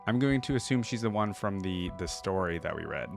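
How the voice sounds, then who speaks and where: A man hosts a casual reaction, thinking aloud in an informal way. His commentary is loose and conversational, with music playing clearly behind him. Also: low-pitched, expressive, brisk. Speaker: reaction video host.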